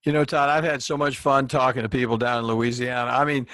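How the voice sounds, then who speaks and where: A man's voice: mid-range voice, little pitch movement, fast, fairly bright tone, dry recording. A man hosting a podcast in casual chat, rambling and digressive, with many restarts. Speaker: podcast host.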